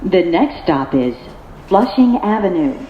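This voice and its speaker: A woman reading scripted automated subway announcements in measured, segmented phrases over a train's speakers. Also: on the low side, very animated. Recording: echoey muffled train PA, loud vehicle noise.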